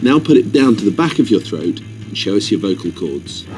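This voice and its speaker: A man presenting as a doctor in an educational video, explaining clearly. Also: fairly low pitch, sing-song, normal speed. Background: music.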